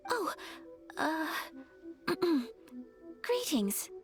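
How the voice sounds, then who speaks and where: A woman's voice: very high-pitched, very animated, very slow, full character voice. An actress playing a polite, shy young noblewoman in a video game, formal and hesitant in manner. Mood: flustered, modest. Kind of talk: video game character acting. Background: music.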